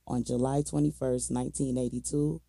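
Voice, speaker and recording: An American man tells true crime stories as a podcast co-host, loose and full of slang. His voice is very dark and mellow, with a creaky, gravelly grain. The sound is dry and close. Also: mid-range voice, flat delivery, moderate pace, no echo. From Minnesota, United States.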